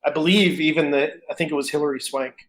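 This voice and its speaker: A man's voice: on the high side, fairly even intonation, fast talker, fairly bright tone, a little hiss or hum. A man chatting casually as a co-host on a podcast.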